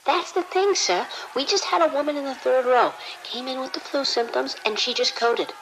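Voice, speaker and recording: A woman playing a frantic triage nurse in an audio drama, breathless and halting with panic. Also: sits high, a bit bright, slight background noise, low-quality recording. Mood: shaken. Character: frantic female triage nurse in overwhelmed hospital.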